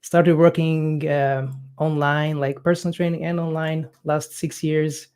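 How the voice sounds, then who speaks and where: A man's voice: on the high side, level tone, darkish tone, clear, resonant tone. Guy coach, young, lots of ums and uhs, casual chat. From Hungary. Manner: halting, many fillers, non-native phrasing.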